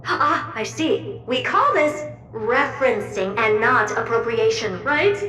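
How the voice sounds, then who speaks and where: A talking mirror in a video game, high and wildly expressive. It is a theatrical, gushing, eager assistant that draws its words out. The voice is echoey and sits under loud music. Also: female voice, slow, distant mic, heavily acted. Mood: playful, curious. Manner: dramatic, playful, gushing. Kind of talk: English video game voice acting.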